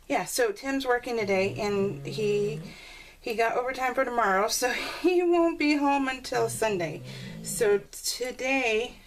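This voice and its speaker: A woman chatting casually and rambling as a craft video host, giggly and self-deprecating, telling stories with animated exclamations. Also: on the high side. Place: at home, voice recorded live while crafting. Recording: noisy home recording with clicks.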